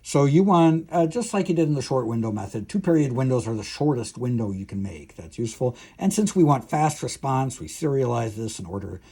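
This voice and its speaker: Male professor, mid-pitched and a little husky; halting technical lecture delivery, thinking aloud as he explains. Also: expressive, dark tone. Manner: halting, thinking aloud with frequent filled pauses and restarts.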